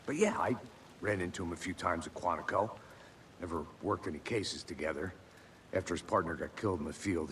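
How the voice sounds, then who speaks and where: An actor playing a world-weary, laconic veteran FBI agent in a video game, dry and matter-of-fact. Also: male voice, sits low, fairly bright tone, a little hiss or hum.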